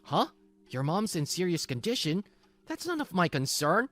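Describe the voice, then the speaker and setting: A scornful young husband acted by a woman in a manga drama, low in pitch with lively swings. He is callous and spoiled, with music underneath. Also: normal speed. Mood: dismissive. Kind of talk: manga dub drama acting. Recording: music behind the voice.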